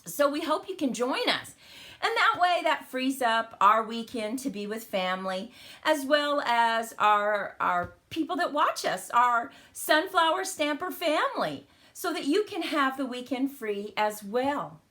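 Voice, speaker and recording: Bright-voiced cheerful woman host. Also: high-pitched, sing-song, unhurried. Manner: cheerful, chatty and welcoming. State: slightly crackly voice after the flu. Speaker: host of a card-making channel. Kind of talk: live stream chat and crafting demo.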